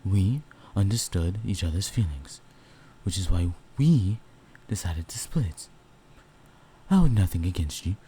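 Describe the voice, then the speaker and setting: A man's voice: low voice, expressive, a little slow, warm tone, a little hiss or hum, low-quality recording. A man voicing a courteous, regal, ancient male host in an audio roleplay, speaking formally and graciously. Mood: calm, reassuring.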